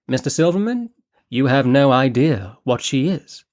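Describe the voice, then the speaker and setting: A man doing a gushing high-born lady. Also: animated, measured pace, a little mellow, close to an everyday voice. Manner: effusive, flighty, theatrical. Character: gushing aristocratic lady, a scheming mother. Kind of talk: audiobook character reading.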